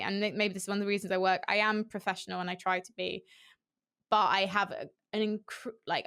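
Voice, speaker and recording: A young woman esports host, a guest on a video call, talking candidly and animatedly through a headset. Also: medium pitch. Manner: candid, animated, quick with restarts and fillers. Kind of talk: casual interview chat. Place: small room at home.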